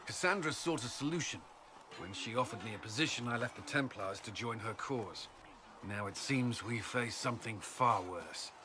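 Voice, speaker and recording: A man playing an earnest, reserved ex-Templar military commander in a video game, speaking in a formal, serious, measured way. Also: mid-range voice, expressive, natural voice. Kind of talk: video game cutscene dialogue. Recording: clearly noisy game audio with ambience.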